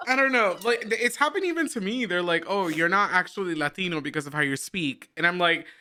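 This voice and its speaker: A man's voice: sits high, expressive, fast talker, pushed and bright, slight background noise. A man whose first language is Spanish, with a slight Spanish accent, co-hosting an interview and speaking earnestly. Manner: earnest, laughs easily. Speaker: co-host interviewer. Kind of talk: casual interview talk.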